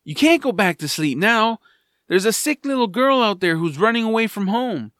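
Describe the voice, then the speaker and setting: A man voicing an eager, earnest young boy hero with an excitable manner in a family fantasy audio drama. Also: high-pitched, moderate pace, no echo, clean studio sound, voice pushed up. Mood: exasperated, pleading.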